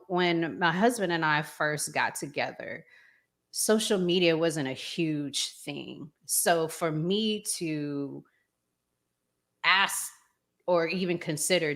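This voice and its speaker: A middle-aged woman hosting a casual live discussion in a deliberate, halting way. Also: lowish voice, fairly bright tone. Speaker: talk show host.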